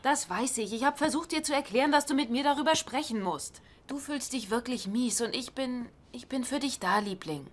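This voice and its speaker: An actress playing a loving, supportive and wry wife in a dubbed sitcom. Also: female voice, high-pitched, expressive, brisk, hardly any pauses. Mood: reassuring.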